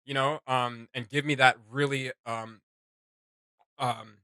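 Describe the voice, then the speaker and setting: Bright, forceful man giving casual commentary. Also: medium pitch, steady tone, takes it slowly, clean tone, no echo. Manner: emphatic, opinionated. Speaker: reaction video host.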